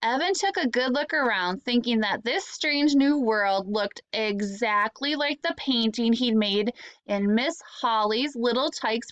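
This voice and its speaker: A woman's voice: fairly high pitch, no room sound, highs cut off. A woman, an elementary school teacher, reading a children's storybook aloud expressively, lingering on key words.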